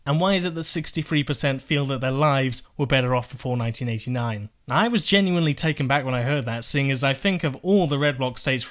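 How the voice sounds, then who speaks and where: A man's voice: medium pitch, fairly bright tone. A young man giving sarcastic, profane political commentary, firing off rhetorical questions as he rebuts clips. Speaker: political video essayist.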